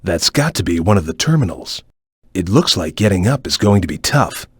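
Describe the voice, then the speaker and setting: A man voicing a stern, watchful cartoon ninja guide in a video game, with a measured, formal, cautious way of advising. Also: sits low, sing-song, slightly rough, no echo, studio quality, full character voice. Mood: cautious, instructive. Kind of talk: video game voice acting, short hint lines.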